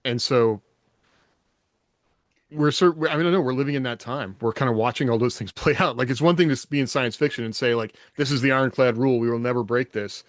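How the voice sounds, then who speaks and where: A man's voice: mid-range voice, a little fast, no room sound, clean studio sound. A man in a casual podcast panel discussion, explaining his thinking reflectively and often checking in with rhetorical questions.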